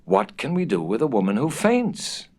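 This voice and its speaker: A man's voice: mid-range voice, huge pitch swings, moderate pace, voice pushed up. A man voicing a fussy, imperious American wife and mother in a storybook, exclaiming and decisive. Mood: exasperated.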